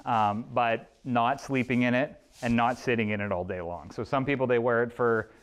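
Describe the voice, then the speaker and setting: Male, 30s, chiropractor presenting to a small group, smooth clear voice, relaxed and rambling. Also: mid-range voice, moderate pace. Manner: friendly, loose, many restarts and fillers. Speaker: chiropractor leading a workshop. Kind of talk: informal presentation with audience questions. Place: small clinic room with a seated audience. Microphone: room camera at a distance.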